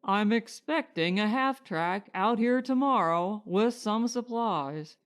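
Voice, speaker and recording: Woman reading as a slow-talking, courteous male settler. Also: medium pitch, animated. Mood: earnest, reasoning. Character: reasonable, courteous rival male settler, a father.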